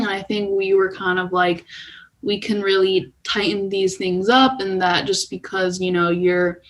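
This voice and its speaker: A woman's voice: medium pitch, a bit bright. A woman guest talking informally and conversationally on a podcast, often saying "like". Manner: informal, conversational, heavy use of "like". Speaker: podcast guest, music company co-founder. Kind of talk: casual chat.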